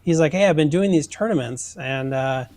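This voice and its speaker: Male podcast guest, fairly high voice, casual, conversational, amateur angler. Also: moderate pace, a little mellow. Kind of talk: casual chat.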